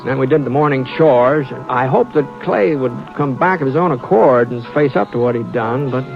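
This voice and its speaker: A calm, steady frontier rancher, played by a man narrates and talks in a soothing, unhurried drawl. The 1950s radio recording sounds dull and muffled, with faint music underneath. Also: mid-range voice, lightly acted. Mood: narrating. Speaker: narrator and lead. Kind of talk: 1950s radio drama acting. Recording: dull old radio broadcast.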